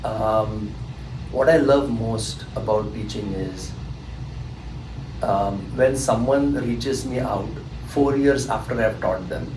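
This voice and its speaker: A man's voice: fairly low pitch, slow pace, background noise. Indian man in an echoey room. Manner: rambling, hesitant with fillers and restarts. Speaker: SAT tutor, guest. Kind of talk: anecdotal storytelling in an interview. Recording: echoey room, distant mic, humming air conditioning.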